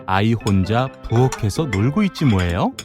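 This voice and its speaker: A man narrating a children's folktale in an animated, dramatic way with playful sound words. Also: on the low side, moderate pace. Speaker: storyteller, narrator. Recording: music behind the voice.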